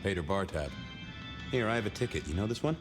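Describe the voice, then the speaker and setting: A laconic noir game detective, probing in clipped lines. The voice is lowish with lively intonation, and music plays behind it. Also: male voice, fast. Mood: probing, understated. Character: probing, laconic male detective questioning a shopkeeper. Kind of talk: noir video game voice acting.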